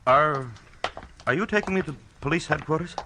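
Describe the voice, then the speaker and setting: A man's voice: a little high, normal speed, noisy background, close to an everyday voice. An actor playing a nervous, polite man bewildered by the police, hesitant and stammering, in a 1940s radio drama. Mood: apologetic, bewildered. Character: nervous, polite, bewildered quiet male stranger under arrest.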